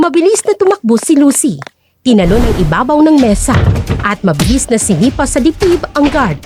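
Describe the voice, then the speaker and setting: A woman's voice: high-pitched, big pitch swings, a little fast, music in the background. A woman narrating a scripted story dramatically as a storyteller and host.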